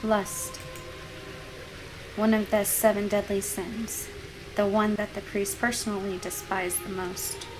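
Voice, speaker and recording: A woman's voice: medium pitch, level tone, takes it slowly, long pauses, background noise. A woman gaming host reading a visual novel aloud as narration.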